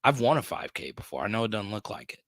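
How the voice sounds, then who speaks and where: A man's voice: fairly low pitch, big pitch swings, quick pace, fairly bright tone, no echo. A man in his thirties hosting a sports podcast livestream, chatting casually as he reads viewer comments, laughing often and tripping over restarts. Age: 30s.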